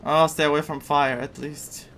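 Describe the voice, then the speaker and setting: A man's voice: on the high side, steady tone, moderate pace, fairly bright tone, slight background noise. A man doing casual playthrough commentary as a gaming streamer, reacting with muttered asides and dramatic repetition. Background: game music.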